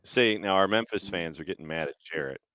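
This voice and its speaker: A man's voice: low voice, a little fast, sharp tone. A man hosting casual sports talk, recapping results in short, clipped phrases with dry asides. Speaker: sports show host.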